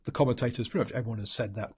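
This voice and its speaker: A man's voice: medium pitch, very fast, darkish tone, breathy edge. A man with a New Zealand accent and a stuffy head cold, giving a rambling solo rugby match analysis full of ums and restarts. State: congested from a cold. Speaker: rugby pundit host.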